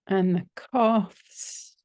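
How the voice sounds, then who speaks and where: A woman teaching yoga nidra, leading a guided relaxation in a gentle, soothing, unhurried manner with long pauses. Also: mid-range voice, steady tone, dry recording.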